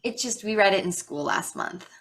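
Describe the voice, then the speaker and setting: An eager, earnest teenage girl , acted by a woman in a staged reading. Her voice is bright and lively, swinging up and down as a bookish granddaughter. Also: mid-range voice, moderate pace, natural voice. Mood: earnest, curious.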